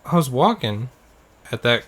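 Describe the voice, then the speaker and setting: A man's voice: medium pitch, very animated, unhurried, fairly bright tone. Casual male podcast co-host telling stories. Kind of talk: casual chat, anecdotes.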